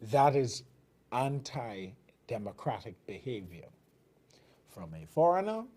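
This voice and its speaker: A man's voice: medium pitch, very animated, takes it slowly, warm tone, breathy edge. A man from the Bahamas with a Bahamian accent, hosting a TV commentary show and delivering fiery, emphatic political commentary in a preaching cadence. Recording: television broadcast.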